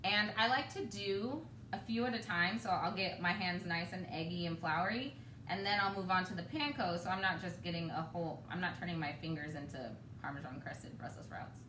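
A woman in her thirties hosting a live home cooking demo, chatty and relaxed, laughing often. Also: lowish voice, brisk. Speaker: cooking show host. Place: home kitchen, indoors. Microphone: camera across the kitchen. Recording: roomy, distant, noisy home kitchen.

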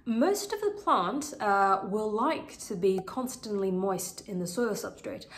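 A woman's voice: mid-range voice, expressive, measured pace, a little hiss or hum. Earnest plant vlogger woman, recovering voice. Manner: chatty, earnest, with frequent fillers. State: voice still recovering from illness. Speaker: houseplant channel host. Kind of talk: casual product review talking to camera. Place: bright living room full of plants. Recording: roomy, echoey home room.

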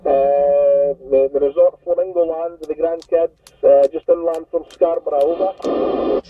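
An older man chatting casually over CB radio in a hesitant, unhurried way. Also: fairly high pitch, little pitch movement, faint music behind. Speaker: CB radio operator. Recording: tinny noisy CB radio transmission, distant.

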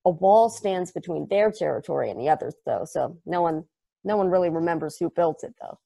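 Woman as an earnest superfan explaining a game, a bit of fry in the voice, a stumbling, devoted lecture. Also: fairly low pitch, expressive, brisk, dark tone. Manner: earnest, rambling, self-correcting. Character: eager, nerdy fan explaining a strange game. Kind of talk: table read of a stage play. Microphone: video call.

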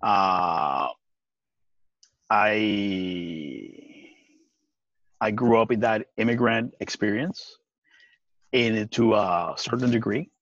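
A man in his forties from Puerto Rico, talking earnestly on a video call from outdoors, with halting restarts and frequent laughs. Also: fairly low pitch, a bit bright, dry recording. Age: 40s. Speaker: guest. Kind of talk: casual video-call interview. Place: outdoors on a deck by trees. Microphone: video call webcam. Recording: dry, dull lo-fi webcam sound.

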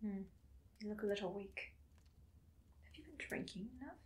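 A woman performing an ASMR roleplay as a possessive, doting vampire mistress, with breathy, theatrical, often whispered speech that coaxes and menaces. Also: medium pitch, expressive, normal speed, close to an everyday voice. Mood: coaxing, possessive. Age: young adult. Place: small dim indoor room.

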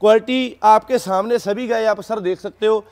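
A man selling dairy cattle, giving an informal pitch and respectfully addressing the listener as sir again and again. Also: fairly even intonation, moderate pace, a little mellow. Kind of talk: informal sales pitch to camera. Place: dairy farm.

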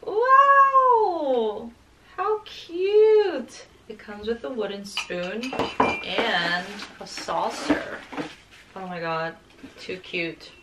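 A woman's voice: fairly high pitch, sing-song, extremely slow, very noisy. Chatty young woman vlogging at home. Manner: chatty, upbeat, says "like" often. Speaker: lifestyle vlogger. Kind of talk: casual vlog narration. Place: bright home room and kitchen, indoors.

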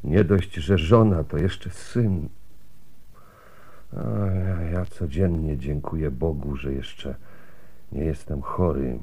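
A fearful, pious old man for radio drama. The voice is extremely deep and husky, and the delivery is slow and solemn, with a sanctimonious air. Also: dark tone, full character voice. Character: pious, fearful older male relative.

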